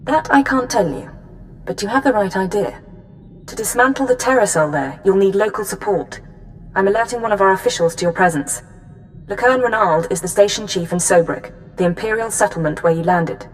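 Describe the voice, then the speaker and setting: A businesslike intelligence handler, voiced by a woman briefs crisply in an even, mid-pitched voice. Faint music runs under this game cutscene. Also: a little fast, natural voice, rough recording. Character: brisk female intelligence handler.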